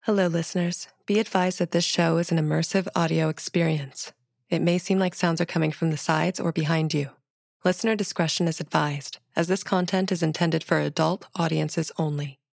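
A woman reads a scripted announcement as an advisory to listeners. Her voice is low with plenty of vocal fry, and the sound is very dry. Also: steady tone, moderate pace, darkish tone, no echo.

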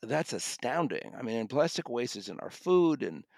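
A man's voice: medium pitch, very animated, normal speed, vocal fry, a little mellow, dry recording. A man co-hosting a podcast, reviewing audiobooks in a discursive, conversational way and often rephrasing his point.

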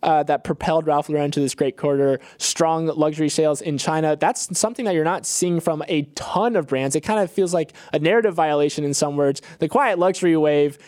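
A man's voice: fairly high pitch, a little fast, few pauses, darkish tone, dry recording, clean studio sound. A man with an American accent co-hosting a business news podcast in a casual, emphatic conversational style. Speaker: podcast co-host.

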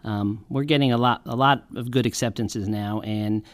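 A man's voice: medium pitch, fairly even intonation, normal speed, no room sound, clean studio sound. A man from a music royalty organization, explaining earnestly in a podcast panel interview, with frequent restarts.

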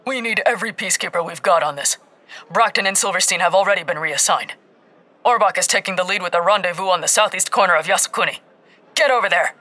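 A narrator doing a brisk male commander issuing clipped orders at speed. The voice is bright and forceful, set apart from the narration. He sounds short on time and patience. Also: medium pitch. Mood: urgent.

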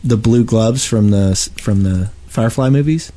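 A man's voice: on the low side, moderate pace, mellow. A man co-hosting a podcast, chatting casually and playfully. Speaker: podcast co-host. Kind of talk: casual chat.

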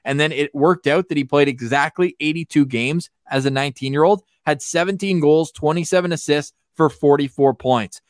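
A man with a fairly high voice talks as a sports podcast host. He runs through hockey talk in a conversational way, stressing the figures. The sound is dry, with very little room. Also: moderate pace, hardly any pauses, no echo. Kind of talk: lively hockey talk with stats.

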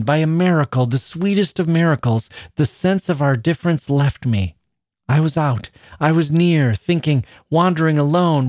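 A man voicing a frail dying man who speaks softly and fervently in a storybook reading. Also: fairly high pitch, no echo, studio quality, pitched above the performer's own voice, lightly acted. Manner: soft, rapt and pleading.

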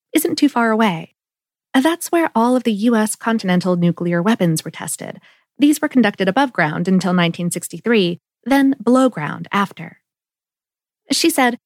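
A woman hosting a podcast, reading scripted narration in a measured, explanatory way with clear phrasing. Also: a little high, dry recording, studio quality. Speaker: podcast host.

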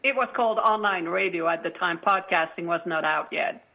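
A woman's voice: on the low side, normal speed. A woman explaining things as a guest in a podcast interview. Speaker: interview guest, author show founder. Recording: dull radio-like lo-fi audio.

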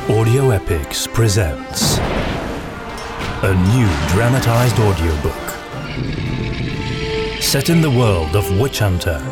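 An actor playing a grim, seasoned fantasy veteran in a dramatic audiobook trailer. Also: male voice, lowish voice, lively intonation, slow, long pauses. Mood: grave, portentous. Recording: loud music bed.

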